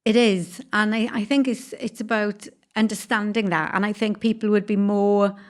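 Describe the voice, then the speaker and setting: A woman's voice: medium pitch, level tone, normal speed. A woman, a business owner interviewed as a podcast guest, explaining things steadily, step by step, with examples. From Wales.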